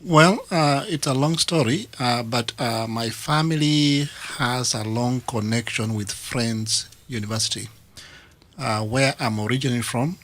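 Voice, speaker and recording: A man from Kenya with a Kenyan accent, a guest on a radio interview, speaking with many pauses and fillers. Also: mid-range voice, a bit bright. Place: radio studio.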